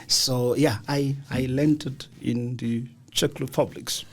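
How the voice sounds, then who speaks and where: A man speaking as an interview guest, telling his story in a halting way and often repeating words. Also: medium pitch, steady tone. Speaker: guest, engineering academic. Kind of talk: radio interview, personal story. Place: radio studio.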